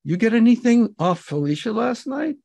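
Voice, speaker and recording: A man's voice: extremely high pitch, sing-song, moderate pace, vocal fry, darkish tone, pitched above the performer's own voice, full character voice. A man voicing a wisecracking, streetwise hotel boss with a punchy, slangy, needling delivery in a story reading. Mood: teasing. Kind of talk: audiobook-style character dialogue. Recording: live reading at an event.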